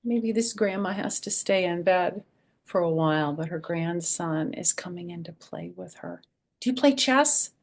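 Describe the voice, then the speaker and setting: An older woman doing a children's read-aloud storytime, moving between expressive sing-song reading and soft, gentle asides. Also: lowish voice, moderate pace. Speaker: storyteller, children's author and former schoolteacher.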